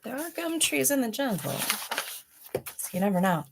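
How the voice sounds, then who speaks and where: A woman reading a children's storybook aloud with deliberate, dramatic phrasing and casual asides. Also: fairly high pitch, very animated, creaky, noisy background. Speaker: storybook reader, host of a read-aloud library.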